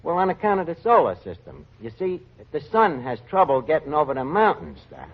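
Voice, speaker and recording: A man's voice: on the high side, huge pitch swings, a little fast. An actor playing a wisecracking tavern manager, sarcastically mangling his grammar in a 1940s radio comedy. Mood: pompously explaining. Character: fast-talking, malapropism-prone wisecracking male tavern manager. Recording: dull vintage radio recording.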